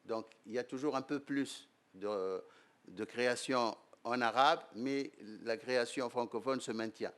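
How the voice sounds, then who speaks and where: An older man from Tunisia with a Tunisian accent, a writer and professor, answering interview questions at a conference in a measured, hesitant way. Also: medium pitch, fairly bright tone. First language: Arabic. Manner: measured, hesitant with many fillers. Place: lecture room.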